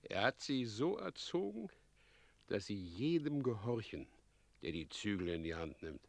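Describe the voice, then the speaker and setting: Scheming father and mayor, radio drama: lowish voice, slow, sly, theatrical, paternal. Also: very animated, gravelly, no echo, heavily acted. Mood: smug, sly. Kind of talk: German radio play acting.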